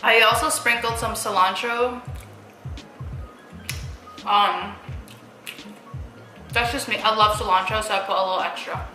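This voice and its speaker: A woman's voice: medium pitch, fairly even intonation, measured pace, long pauses, sharp tone, echoey room, soft background music, low-quality recording. A young woman talking casually to her viewers while eating, chatty, laughing often and using plenty of fillers. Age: young adult. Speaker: mukbang vlogger.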